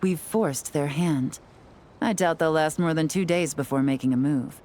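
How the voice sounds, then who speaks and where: A woman playing a cool, composed female secret agent who speaks in a calm, measured, quietly confident way, in a video game cutscene. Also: low voice, slight background noise, close to an everyday voice.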